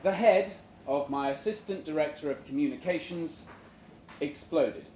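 Male live fiction reader, deadpan comic timing, lively intonation, recorded in an echoey room with faint background noise. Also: on the high side, normal speed. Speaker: reader of a short story at a live event. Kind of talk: live dramatic short story reading. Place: indoor room at a live literary event.

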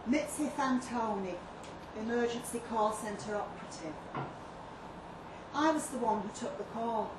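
A woman's voice: fairly high pitch, unhurried, pauses often, lightly acted. A woman playing a matter-of-fact, mildly bemused emergency call operator, chatty and plain-spoken, in a live story reading. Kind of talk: dramatic monologue read at a live story event. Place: live reading in a pub function room. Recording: echoey room, distant mic, noisy ambience.

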